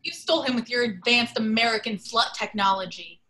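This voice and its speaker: A woman's voice: a little high, brisk, bright, forceful tone, rough recording. A woman playing a fiery, jilted teenage girl at an amateur table read. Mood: furious.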